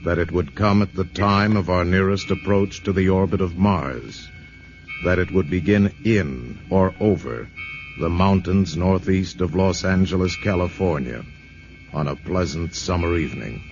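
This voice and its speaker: Deep grave radio drama narrator. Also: male voice, soft background music. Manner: grave, measured storytelling. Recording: dull old radio broadcast.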